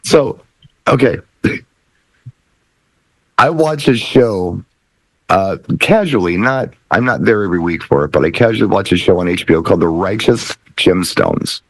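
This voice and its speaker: A middle-aged man hosting a casual talk show, telling things in a rambling, animated way. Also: low-pitched, normal speed, long pauses, no echo, rough recording. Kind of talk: casual chat.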